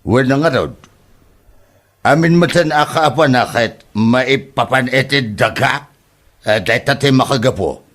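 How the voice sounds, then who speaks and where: A man's voice: medium pitch, measured pace, a bit bright. A man acting an aggrieved older village father with an Ilocano accent in a comedy drama, protesting hesitantly. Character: aggrieved older village father accused over a land boundary.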